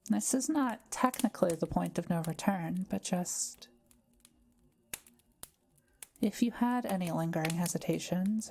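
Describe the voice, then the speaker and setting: A woman playing a kind, quiet forest witch in an audio roleplay, speaking gently and patiently as she explains things to the listener. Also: fairly low pitch, a little hiss or hum, lightly acted. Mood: kind, reassuring. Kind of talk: soft-spoken audio roleplay addressed to the listener.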